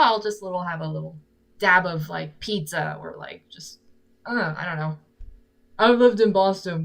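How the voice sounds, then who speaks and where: A young woman from South Korea whose first language is Korean, chatting casually on a live stream in English and filling her rambling sentences with "like". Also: low-pitched. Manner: rambling, fills nearly every phrase with "like". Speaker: singer-songwriter streamer.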